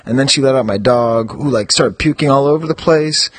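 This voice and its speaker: A middle-aged man hosting a podcast from his home basement, chatty and rambling, hoarse from a cold. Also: medium pitch, a little fast. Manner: rambling, chatty, self-mocking. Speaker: podcast host. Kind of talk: casual chat.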